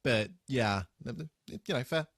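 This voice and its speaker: A man with a mid-range voice, recorded close and dry with little room sound. He chats casually as a podcast co-host, feeling his way through a point in halting phrases and dropping deadpan asides. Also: mid-range voice, no echo. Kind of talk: casual chat, re-watch commentary.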